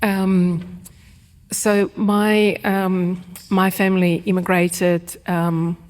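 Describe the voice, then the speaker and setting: A woman's voice: fairly low pitch, little pitch movement, very slow, darkish tone, long reverb tail. Reflective woman. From Ukraine. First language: Russian. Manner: reflective, thoughtful, many fillers and restarts. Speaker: guest writer. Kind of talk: live panel conversation. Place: event hall before a live audience.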